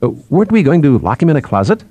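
A man voicing a brisk, slightly impatient psychologist in a conversational way for an audiobook. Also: fairly high pitch, big pitch swings, mellow, dry recording, muffled recording, voice pushed up. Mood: flustered, offhand.

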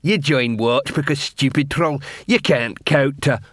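A man doing a slow, jeering troll. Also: medium pitch, fairly bright tone, dry recording, clean studio sound. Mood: sneering. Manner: broken grammar, mocking. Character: blunt, jeering wide male troll.

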